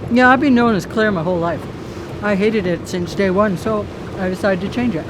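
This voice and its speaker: Radio drama: actress for wisecracking runaway bride, blunt and jittery, mid-range voice, noisy live sound with engine-like rumble. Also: female voice, fairly quick, lightly acted. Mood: curious, playful. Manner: blunt, teasing, chatty, self-mocking.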